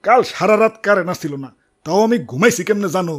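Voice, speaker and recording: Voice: medium pitch, quick pace, faint music behind, natural voice. Performer as a cheeky cartoon youngster. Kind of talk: comic cartoon dialogue.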